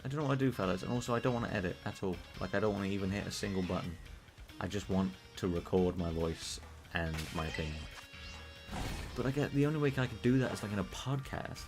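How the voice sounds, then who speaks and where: A British man streaming a game, chatting casually in a rambling, profane way that he calls monotone. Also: sits low, quick pace, over music. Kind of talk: casual live-stream chat while gaming.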